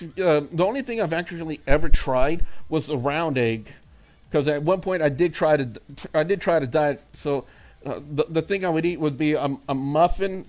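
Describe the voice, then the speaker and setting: A man hosting a let's play, casually commenting and reading game text aloud in a halting way. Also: fairly high pitch, a little mellow. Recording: music under the voice.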